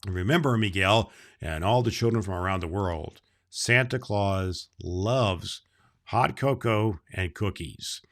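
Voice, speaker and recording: A man playing Santa Claus, reading a children's book aloud and talking to kids in a slow, gentle storytelling manner. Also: on the low side.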